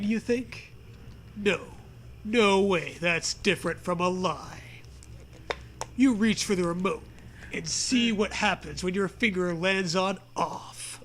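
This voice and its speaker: High, bright-voiced man, unhurried comic reader. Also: a little hiss or hum. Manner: playful, dramatizing the narration. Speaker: co-host reading aloud a choose-your-own-adventure parody. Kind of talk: comic read-aloud with casual banter.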